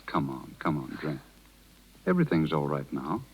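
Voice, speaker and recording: An actor playing a calm, reassuring small-town doctor in a 1930s radio drama. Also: male voice, very deep voice, moderate pace, breathy edge, exaggerated voice. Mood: soothing. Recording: muffled 1930s radio broadcast, faint music.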